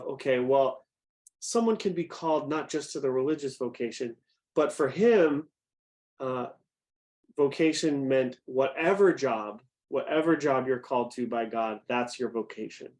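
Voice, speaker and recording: A young man teaching a group, speaking in an even, middle-pitched voice. His talk is conversational and easy, and he keeps stopping to put questions to his listeners. He sounds like someone guiding a youth class on a video call. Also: moderate pace. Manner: conversational, asks the audience questions. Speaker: youth program director.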